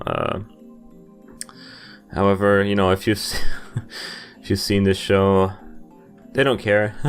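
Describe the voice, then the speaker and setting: A young man giving casual playthrough commentary and reading game text aloud, rambling and hesitant. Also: fairly low pitch. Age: young adult. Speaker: gaming video host. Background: game music.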